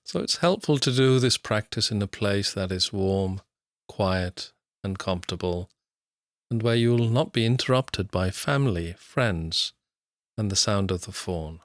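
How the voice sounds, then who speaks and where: A man guiding a body scan meditation, speaking slowly and calmly with long pauses. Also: on the low side, dry recording, clean studio sound. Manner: slow, calm, soothing, with long pauses. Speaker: mindfulness teacher.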